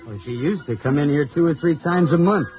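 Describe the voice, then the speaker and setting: A plain-spoken car mechanic from old radio drama, acted by a man. He talks quickly in a fairly high voice. The recording is dull, with music clearly behind him. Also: animated, lightly acted. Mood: casual, reporting. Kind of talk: 1950s radio drama acting.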